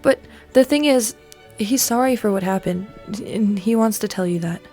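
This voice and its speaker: Earnest high school girl played by a woman. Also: warm tone, close to an everyday voice. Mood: guilty, earnest. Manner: halting, confessional. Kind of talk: podcast audio drama acting. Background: music.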